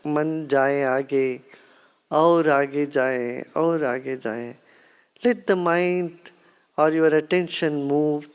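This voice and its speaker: This is a meditation guru with an Indian accent. The delivery is extremely slow, with long pauses between gentle instructions. The voice sits at a medium pitch and stays calm and unhurried throughout. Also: clean tone.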